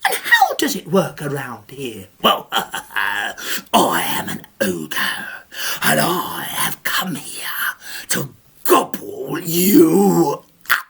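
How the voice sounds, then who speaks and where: A woman's voice: low voice, wildly swooping pitch, vocal fry, a bit bright, breathy edge, pitched below the performer's own voice, full character voice. Woman growling as a big flustered ogre. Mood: menacing. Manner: slow, grumbling, stammering. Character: big lumbering male ogre, gruff, easily flustered. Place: live storytelling session with an audience.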